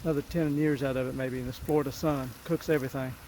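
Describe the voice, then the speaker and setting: A man, his voice rough from a cold, casually narrating his DIY roof work outdoors in a folksy, rambling way. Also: medium pitch, fairly even intonation, quick pace, a little mellow, slight background noise. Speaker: DIY vlogger. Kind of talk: casual narration while working.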